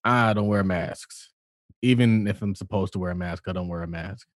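A man's voice: sits low, moderate pace, dry recording, clean studio sound. A man co-hosting a podcast, bantering casually and putting questions to his co-host.

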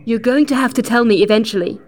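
A woman's voice: a little high, fast, natural voice. An actress playing an exasperated woman pressing a colleague for answers in an audio drama. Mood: exasperated, insistent.

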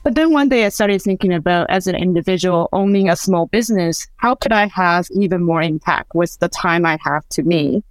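A woman's voice: lowish voice, normal speed, few pauses, no echo. A woman in her forties from Taiwan, a podcast guest telling her career story in a thoughtful, conversational way with a few restarts. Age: 40s.

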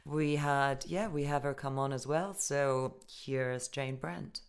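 A woman co-hosting a podcast, speaking graciously and pausing to search for words. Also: extremely low pitch, steady tone, clear, resonant tone. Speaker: podcast co-host.

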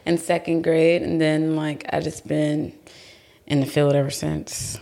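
Chatty young woman, low-pitched. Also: little pitch movement, creaky, dark tone. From Guyana. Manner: fast, chatty, many "like" fillers. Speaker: guest singer. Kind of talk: casual podcast interview.

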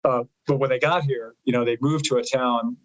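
A man in his fifties, a native Italian speaker, recounts family history at an unhurried, rambling pace, doubling back on his words. His voice sits at a middle pitch. The sound is dry and a little muffled. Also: steady tone, no room sound. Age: 50s. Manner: rambling, repeats words while finding his thought. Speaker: guest, lawyer and author. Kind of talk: storytelling interview.

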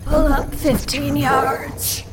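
Slow, whispering phantom of a car. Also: female voice, high voice, little pitch movement. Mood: intimate, eerie. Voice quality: breathy whisper. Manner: slow, intimate. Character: whispering, seductive unseen presence in a vintage car. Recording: clear background noise, vehicle ambience.

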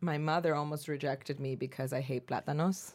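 A woman's voice: sits low, steady tone, a little fast, darkish tone. A woman co-hosting a podcast, chatting playfully and laughing easily. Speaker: podcast host. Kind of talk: casual chat.

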